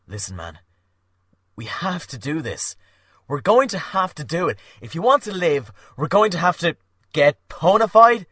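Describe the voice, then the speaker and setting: A man voicing a frightened young man, loud and agitated, stammering as he talks. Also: fairly high pitch, big pitch swings, moderate pace, husky, no echo, studio quality, voice pushed up, heavily acted. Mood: urgent.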